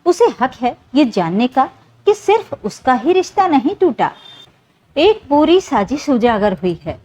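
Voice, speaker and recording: A woman's voice: sits high, very animated, a little slow, a little mellow, full character voice. A woman voicing a protective, determined mother in a story, speaking earnestly and urgently. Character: protective, determined mother fighting for her daughter.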